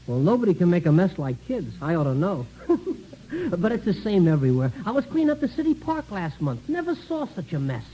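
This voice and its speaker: Folksy American janitor, mellow and high, rambling, humble, colloquial; hissy old radio sound. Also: male voice, big pitch swings, brisk, lo-fi sound. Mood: folksy, chatty. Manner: rambling, self-deprecating, colloquial, stammering. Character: talkative, folksy, down-on-his-luck janitor and father. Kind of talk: 1950s American radio comedy-drama acting. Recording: hissy early 1950s radio recording.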